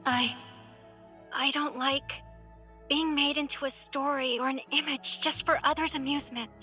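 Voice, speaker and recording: A delicate young female game character, very high and sharp-toned. Her scripted lines are earnest and formal, craving beautiful words, and the audio is slightly dull with music in it. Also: steady tone, moderate pace. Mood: aggrieved.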